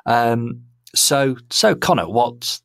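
A man's voice: medium pitch, lively intonation, slow pace, vocal fry, fairly bright tone, dry recording, clean studio sound. A man hosting a podcast in a casual, rambling, self-deprecating chat style. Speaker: podcast host.

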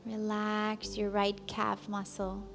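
A woman leads a guided relaxation in a calm, soothing voice. Her pace is unhurried and her pitch stays level, with long pauses between gentle instructions. Faint music plays behind her. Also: creaky. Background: soft background music.

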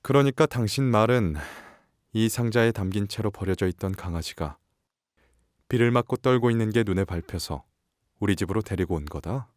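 An actor playing a sharp, condescending wolf shapeshifter in an audio drama, questioning with sardonic formal politeness. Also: male voice, lowish voice, lively intonation, normal speed, dry recording, studio quality, lightly acted. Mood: mocking.

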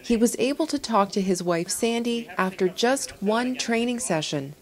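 A woman's voice: a little high, animated, moderate pace, a little mellow. Woman reporter reading scripted narration.

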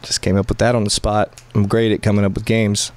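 A man's voice: lowish voice, little pitch movement, a little fast. A young man chatting casually and jokingly as he hosts a podcast quiz in a small room. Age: young adult. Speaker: podcast host and quizmaster.

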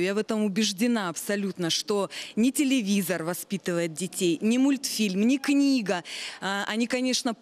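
A middle-aged woman with a medium-pitched voice states her views firmly and with thought. She is a parent guest in a studio discussion, on a handheld mic. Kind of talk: firm, considered opinion in a studio discussion. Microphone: handheld microphone held by the host.

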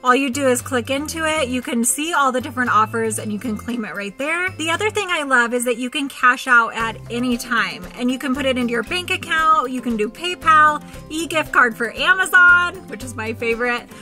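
A high-voiced American woman in her thirties talks casually into a handheld camera. She is chatty and expressive, with music clearly playing behind her. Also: brisk, hardly any pauses. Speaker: family vlogger. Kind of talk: casual vlog chat.

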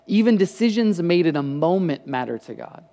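A man in his thirties, a pastor giving a casual sermon on a church stage, talking conversationally into a headset mic with lively gestures and frequent restarts. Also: sits high, moderate pace, big-room sound. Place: church stage with lit patterned backdrop.

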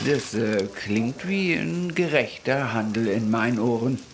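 An actor playing a jovial, thirsty tavern guest with hesitant speech in an audio drama. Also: male voice, medium pitch, unhurried, noisy background, lightly acted.

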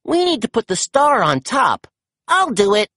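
Narrator putting on a high-pitched plucky girl puppy. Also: sing-song, moderate pace, dry recording, clean studio sound. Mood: excited. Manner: exclaiming, bright and enthusiastic. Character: plucky, eager young female rescue puppy.

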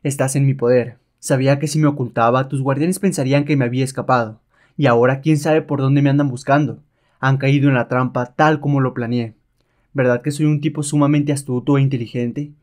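A man's voice: medium pitch, steady tone, fairly quick, darkish tone, close to an everyday voice. A man playing a sly, rebellious male adventurer held as a slave, mocking and self-assured, in a comic-book reading. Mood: gloating, threatening.

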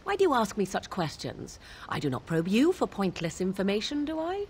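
A woman's voice: medium pitch, wildly swooping pitch, a little fast, darkish tone, slight background noise. A woman playing a proud, sardonic young witch in a video game, speaking in formal, archaic, disdainful phrases. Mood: haughty annoyance. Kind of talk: video game dialogue acting.

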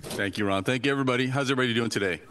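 A Canadian-raised man whose first language is Portuguese, giving a lively, joking academic talk in a lecture hall. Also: medium pitch, very fast. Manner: lively, chatty, joking asides. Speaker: invited conference speaker.

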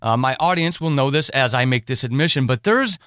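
A man's voice: medium pitch, lively intonation, brisk, fairly bright tone, no room sound, studio quality. A man hosting a conversational podcast interview in an enthusiastic, effusive manner.

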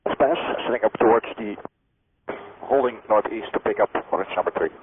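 A man's voice: on the low side, steady tone, brisk, slightly rough. A man speaking as a military pilot, making brief procedural calls over a two-way radio. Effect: two-way radio transmission. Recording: tinny, narrow, noisy military radio link.

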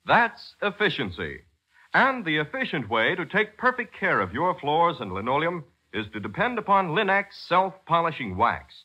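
Male announcer, 1940s radio, emphatic scripted delivery, highish husky voice, lively intonation, lo-fi. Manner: polished sales delivery, emphatic. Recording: dull, lo-fi 1940s radio recording.

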